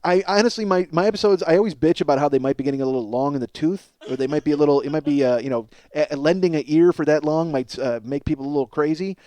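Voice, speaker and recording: A man in his forties hosting a comedy podcast, rambling in an animated, profane monologue and often cutting himself off. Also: on the high side, quick pace, hardly any pauses, dry recording. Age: 40s. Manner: animated, emphatic, self-interrupting riffing.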